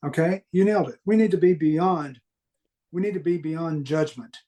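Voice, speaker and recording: A man with a mild Southern US accent, speaking earnestly and haltingly as he reflects on his own experiences in a conversational interview. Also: on the high side, dark tone. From American Deep South. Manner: earnest, halting, repeats phrases for emphasis. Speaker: podcast guest recounting paranormal experiences.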